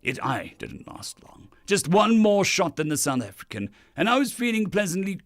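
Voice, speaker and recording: A man's voice: high-pitched, sing-song, moderate pace, no echo, clean studio sound, pitched above the performer's own voice. A man voicing a proud alien drinking champion, narrating a story in a theatrical, boastful, melodramatic way. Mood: rueful, dramatic. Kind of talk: dramatic first-person story narration.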